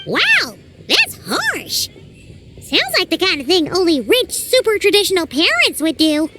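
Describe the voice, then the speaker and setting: A woman voicing a tiny floating fairy companion who talks about herself in the third person, with a high, squeaky, childlike voice. It is game dialogue with loud music behind it. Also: wildly swooping pitch, a little slow, full character voice. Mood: chatty. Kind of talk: game voice acting.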